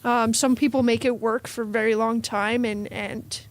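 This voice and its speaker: Higher-voiced woman in emphatic casual chat. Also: steady tone, normal speed. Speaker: improv performer, guest.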